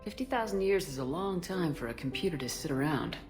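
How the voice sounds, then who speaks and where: Tough female space commander, low voice, clipped and in charge, bit of music behind. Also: expressive, a little fast. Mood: warm, dry. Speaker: military commander. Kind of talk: video game acting.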